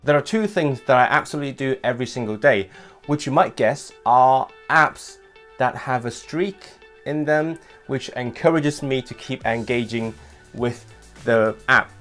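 Animated young man vlogging at home. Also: mid-range voice, measured pace, soft background music. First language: not English. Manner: animated, gestures with hands while talking. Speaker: language vlogger host. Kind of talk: casual scripted vlog talk to camera. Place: small home room with desk.

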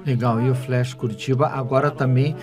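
Music plays clearly behind a male radio host in his sixties. He speaks at a medium pitch, in a conversational interviewing style. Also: steady tone, a little fast. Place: small foam-padded radio studio. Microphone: studio microphone.

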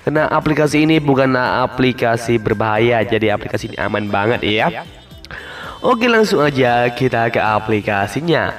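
A man's voice: medium pitch, lively intonation, normal speed, a bit bright. A man giving a casual, step-by-step app tutorial voice-over, informally repeating instructions and drawing out the ends of phrases. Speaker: tutorial host. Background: music.

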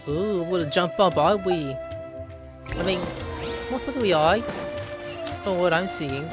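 A man's voice: sits high, slow, music in the background. A man streaming a video game with playful, goofy live commentary, breaking into sound effects. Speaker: gaming streamer reacting to a game.

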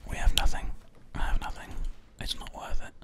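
Whispered ASMR gameplay commentary. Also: normal speed. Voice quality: breathy unvoiced whisper. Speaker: gaming video host. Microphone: close binaural microphone. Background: keyboard and mouse clicks.

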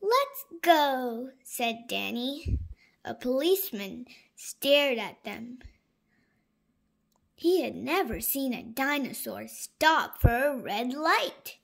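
A woman reading a picture book aloud to young children in an animated, emphatic way. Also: a little high, vocal fry. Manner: slow, animated, with exaggerated emphasis for small children. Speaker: storybook reader for young children. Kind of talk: expressive read-aloud narration of a picture book.

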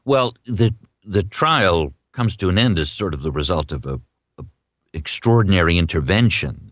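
Deep-voiced, animated male interviewer. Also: moderate pace, no room sound, studio quality. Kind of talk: conversational interview questioning.

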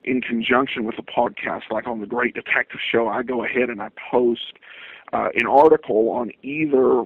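An American man giving casual interview answers as a guest, in a halting, hesitant way. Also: mid-range voice, fairly even intonation, creaky, slightly rough, no room sound. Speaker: interview guest, podcaster and writer. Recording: thin phone-like line.

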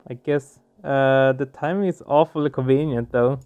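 A man giving casual let's play commentary, halting as he searches for words. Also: mid-range voice, fairly even intonation, clear, resonant tone. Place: small room at home, indoors. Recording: dull muffled sound, music behind. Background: game music.

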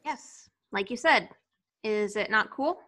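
A woman's voice: mid-range voice, expressive, slow, bright, forceful tone, exaggerated voice. A woman playing a cool, laid-back, blunt young woman in an amateur script reading. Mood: casual. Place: remote video call.

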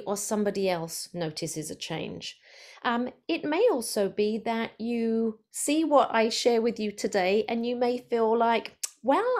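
A woman's voice: mid-range voice, darkish tone. A woman speech therapist giving an online presentation over a video call, explaining in a friendly way with some fillers.

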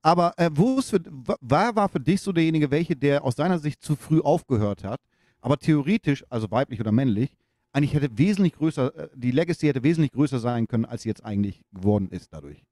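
A man's voice: medium pitch, animated, fast talker, warm tone. A male podcast co-host who asks long, rambling questions with enthusiasm. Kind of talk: casual chat.

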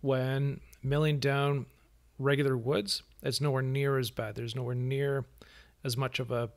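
A man of middle pitch narrates his woodworking project as a voice-over. He explains each step in plain, easygoing words and sometimes restarts a phrase. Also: flat delivery, a little slow. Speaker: woodworking channel host.

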